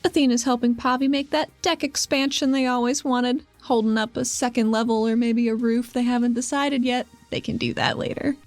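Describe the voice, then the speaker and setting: Higher-voiced woman playing a tabletop game. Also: steady tone, normal speed, hardly any pauses, faint music behind. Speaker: podcast co-host and player. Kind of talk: casual, playful chat and game narration.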